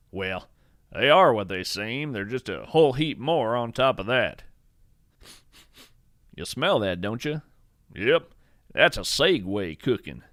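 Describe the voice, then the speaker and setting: An actor playing a folksy, cheerful nature explorer who narrates a scripted audio drama with earnest, theatrical wonder. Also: male voice, medium pitch, fairly bright tone, no echo, clean studio sound. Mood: folksy enthusiasm. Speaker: fictional podcast host and narrator. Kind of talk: scripted storytelling monologue.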